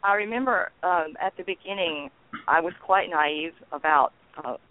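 A woman's voice: lowish voice, dry recording. Older lady calling in, lots of ums and restarts, thin phone line sound, dry. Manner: halting, with many ums and restarts. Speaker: guest, founder of a peace network. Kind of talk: casual radio interview.

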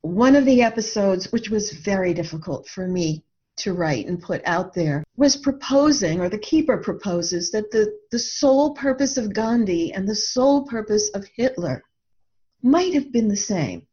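A woman explaining her ideas in a reflective interview style, speaking earnestly and deliberately, with emphatic repeated phrasing. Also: mid-range voice, expressive, mellow. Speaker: author and podcast guest. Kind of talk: reflective explanatory talk about own ideas. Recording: dull, lo-fi audio.

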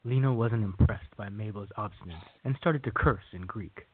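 Narrator for a radio play: male, slightly deep and husky, reading scripted story links. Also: normal speed, phone-quality sound.

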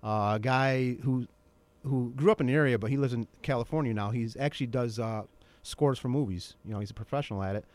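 Male interview guest, middle-aged, casual and slightly halting delivery, close dry studio sound. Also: medium pitch, darkish tone, no echo. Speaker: interview guest, documentary maker. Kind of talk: casual radio chat.

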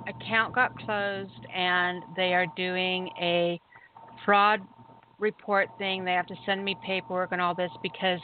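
A chatty woman tells a story over a call-in line, her voice thin and phone-like with a faint hiss behind it. She talks in an animated, casual way and laughs easily. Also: lowish voice. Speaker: caller sharing a story.

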